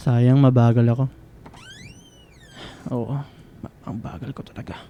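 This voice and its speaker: A man playing a quiet, shy young student in a radio drama, hesitant and mild, with a Filipino accent. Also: mid-range voice, steady tone, slow pace, lo-fi sound, slight background noise. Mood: wry, mild.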